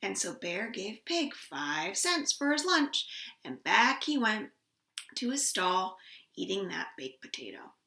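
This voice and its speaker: Animated, bright-voiced woman telling children's stories. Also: mid-range voice, gravelly. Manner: expressive, playful, with drawn-out emphasis. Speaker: children's storyteller at a library storytime. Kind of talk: animated storytelling for young children. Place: at home, indoors. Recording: echoey room.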